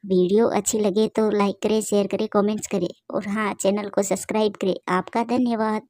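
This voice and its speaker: A woman's voice: medium pitch, little pitch movement, brisk, a little mellow, dry recording, clean studio sound. A woman storyteller reading scripted narration for a cartoon tale.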